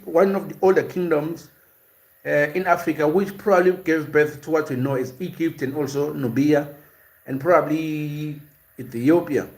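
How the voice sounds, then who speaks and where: Male host, slightly high voice, unscripted live lecture, stop-start delivery with many fillers. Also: moderate pace, poor audio. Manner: explanatory, hesitant, with frequent "uh" and repeated words. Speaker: host of a language and culture series. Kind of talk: live-stream lecture, reading chat and answering viewers.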